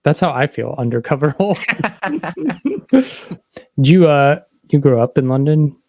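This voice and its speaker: A man's voice: fairly high pitch, very animated, a little slow, warm tone, no echo. A man hosting a podcast interview in a casual, conversational style. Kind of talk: casual chat.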